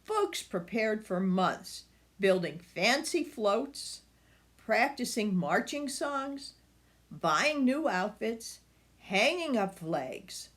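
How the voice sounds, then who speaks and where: An older woman reading a picture book aloud to children, expressive and speaking directly to her young listeners. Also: medium pitch, drawn-out words.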